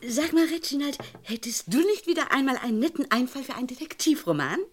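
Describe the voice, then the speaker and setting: An actress playing an unruffled, gently teasing wife and novelist in a 1970s German radio crime comedy. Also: female voice, sits high, big pitch swings, fast talker. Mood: amused, curious. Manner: light, teasing, composed. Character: amused, unruffled English wife who writes detective novels.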